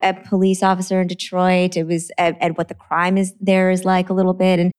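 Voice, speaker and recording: Smooth-voiced woman from Trinidad. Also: lowish voice, monotone, a little fast, a little mellow. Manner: earnest, laughs easily, repeats words when starting. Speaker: author being interviewed. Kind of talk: conversational interview.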